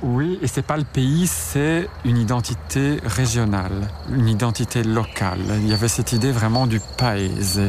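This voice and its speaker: A man's voice: medium pitch, normal speed, hardly any pauses, noisy background. A man from Belgium being interviewed, thinking aloud with hesitant restarts and fillers. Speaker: author and researcher, interviewee. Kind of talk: radio interview, explaining.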